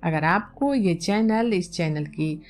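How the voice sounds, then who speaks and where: A woman narrating a literary audiobook in an expressive, deliberate storytelling manner. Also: fairly low pitch, soft background music.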